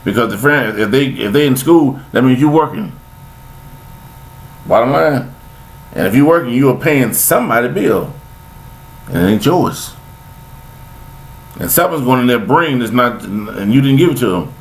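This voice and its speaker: A man's voice: medium pitch, expressive, moderate pace, pauses often, a little hiss or hum, poor audio. An older man, talking in a rambling, preachy monologue, repeating himself with folksy sayings. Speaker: vlogger giving life commentary.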